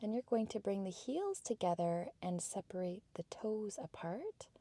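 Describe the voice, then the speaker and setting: A woman instructor giving soft, breathy, measured cues for a guided workout in a calm meditation cadence. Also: medium pitch, dry recording. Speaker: fitness and yoga instructor.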